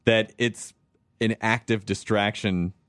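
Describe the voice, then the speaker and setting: A man's voice: on the low side, steady tone, slow, a bit bright, dry recording. A man hosting a casual chat, joking and swearing freely, often restarting his sentences.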